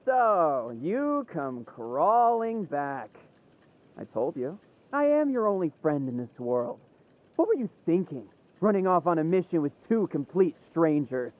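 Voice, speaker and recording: Theatrical spiteful guard, swooping high voice. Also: male voice, sing-song, phone-quality sound. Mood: smug, mocking. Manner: theatrical, taunting, drawn-out mocking delivery. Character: theatrical, possessive, spiteful male guard, mocking and volatile. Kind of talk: video game voice acting.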